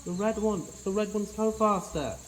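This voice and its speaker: A man's voice: high-pitched, normal speed, close to an everyday voice. An actor playing a reckless, obsessive, flippant monster hunter in an audio drama, wordy and wry in his theatrical delivery. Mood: chipper, brisk. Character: reckless, obsessive male eccentric hunting a monster. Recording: music behind the voice.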